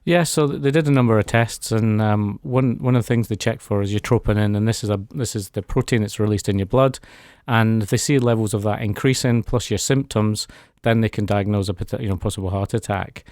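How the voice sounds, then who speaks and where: A man's voice: fairly low pitch, brisk, few pauses, darkish tone, no room sound, studio quality. A man, telling his personal story as a guest in a radio interview. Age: 40s. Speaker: interview guest giving a testimony. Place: radio studio.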